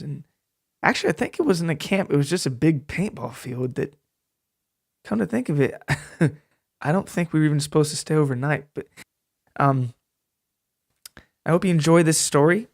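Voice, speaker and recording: A man's voice: medium pitch, a little mellow, no room sound, clean studio sound. A man hosting a narrative podcast, talking to listeners in a joking, casual, storytelling way. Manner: joking, rambling, addresses listeners directly.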